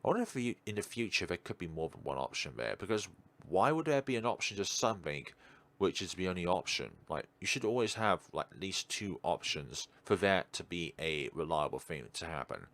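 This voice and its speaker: A man with a deep voice reads a visual novel aloud for a let's play. His delivery is animated, swinging up and down between narration and his own commentary. He occasionally stumbles on a line and corrects himself. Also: fairly quick. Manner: stumbles over read lines and corrects himself. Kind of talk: game commentary and dramatic read-aloud narration.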